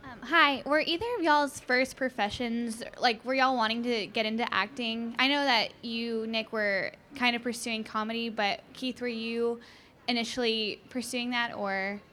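Woman, high bright voice, tentative question. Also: steady tone, normal speed, a little hiss or hum. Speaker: audience member asking a question.

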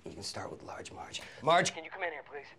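A man's voice: fairly high pitch, sing-song, sharp tone, whispered. An actor playing a crude, petty male boss in a film scene, blunt and contemptuous. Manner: blunt, contemptuous, rapid-fire.

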